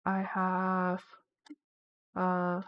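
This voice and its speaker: A woman's voice: on the low side, monotone, drawn-out words, clean tone, no echo, lo-fi sound. A young woman streaming from home, chatting casually and rambling with lots of "like" fillers as she plays. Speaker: Twitch streamer. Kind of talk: casual live-stream chat while gaming.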